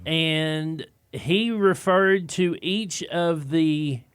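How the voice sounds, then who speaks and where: A man's voice: a little high, drawn-out words, fairly bright tone, clean tone, no echo. A man hosting a radio show, talking in an easygoing, plain-spoken way in a studio. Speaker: radio host. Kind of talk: casual radio talk.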